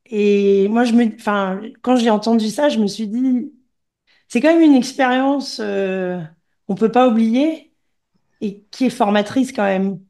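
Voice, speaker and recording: Animatrice engagée à la voix chaude. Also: female voice, a little high, measured pace, warm tone. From Gabon. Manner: engaged, encouraging, conversational. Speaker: podcast host. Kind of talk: conversational interview.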